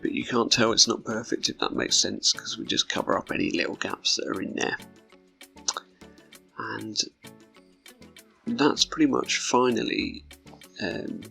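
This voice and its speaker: Hoarse, gravelly man commentating. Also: lowish voice, bright, forceful tone. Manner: rambling, laid-back, with frequent ums and small laughs. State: hoarse, croaky voice. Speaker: gameplay commentator. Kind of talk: relaxed build commentary over gameplay.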